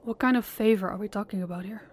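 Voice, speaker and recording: A woman's voice: medium pitch, quick pace, a little mellow, close to an everyday voice. A woman voicing a polite, desperate young woman pleading with a crime boss in an audio roleplay, speaking formally and deferentially. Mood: uneasy. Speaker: voice actor and channel host.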